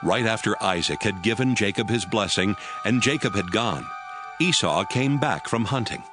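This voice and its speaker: Low-voiced male scripture narrator. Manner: measured, even storytelling. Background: background music.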